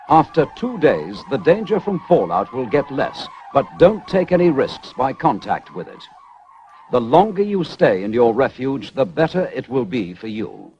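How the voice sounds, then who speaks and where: British male announcer for vintage civil defence narration: formal and measured, widely varied intonation, muffled band-limited audio. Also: medium pitch, darkish tone, slight background noise. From United Kingdom. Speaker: public information film narrator. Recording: dull, band-limited 1970s film soundtrack.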